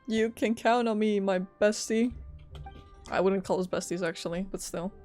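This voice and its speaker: A woman's voice: mid-range voice, normal speed, darkish tone, soft background music. A young woman game streamer reacting casually to a story as she plays, in a playful, slangy way. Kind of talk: casual live gameplay reaction.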